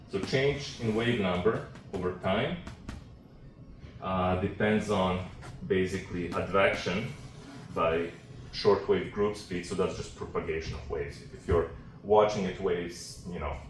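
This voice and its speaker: A man giving a technical seminar talk as a researcher, explaining informally and a little haltingly. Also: sits low. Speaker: academic researcher presenting. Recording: roomy, distant mic, faint background noise.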